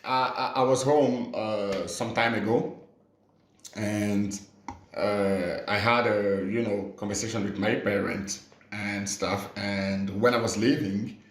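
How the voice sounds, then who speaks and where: Echoey, lower-voiced man in earnest conversation. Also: steady tone, slow. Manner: earnest, peppered with "you know". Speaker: podcast speaker. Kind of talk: casual, reflective podcast conversation. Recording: roomy, echoey podcast recording.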